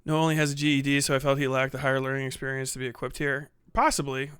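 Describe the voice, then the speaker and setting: A man's voice: mid-range voice, little pitch movement, very fast, fairly bright tone, clear, resonant tone, no echo, clean studio sound. A man hosting a casual livestream, chatting and replying to viewers in slangy, profane language. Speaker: livestream host. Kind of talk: casual livestream chat, reading and answering viewer comments.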